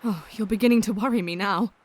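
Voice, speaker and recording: An actress playing a gentle, modest young Englishwoman in an audio drama, speaking tenderly and earnestly. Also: medium pitch, quick pace, lightly acted. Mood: worried. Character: gentle, worried young woman, modest friend.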